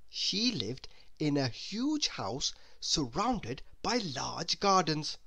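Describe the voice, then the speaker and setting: A woman reading a children's story aloud in an expressive, deliberate manner. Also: fairly low pitch. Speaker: storybook reader. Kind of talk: children's read-aloud narration.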